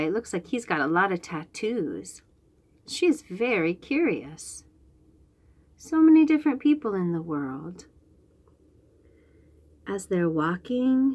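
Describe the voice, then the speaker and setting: Lively, unhurried woman storyteller for young children. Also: lowish voice. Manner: simple short phrases with questions to the listener. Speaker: children's read-aloud host. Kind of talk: storytelling to young children, describing picture book pages.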